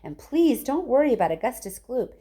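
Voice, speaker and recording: A woman voicing a gleeful, excitable, whimsical chocolate factory owner, an older man, in a storybook reading full of exclamations. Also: a little high, a little fast. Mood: excited, hurried.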